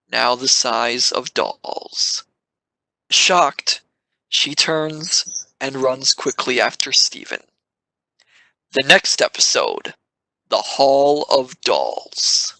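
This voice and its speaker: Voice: mid-range voice, sing-song, very slow, gravelly, sharp tone, dry recording, poor audio. A narrator reading the stage directions of a live amateur radio play in a dramatic way, stressing sudden events.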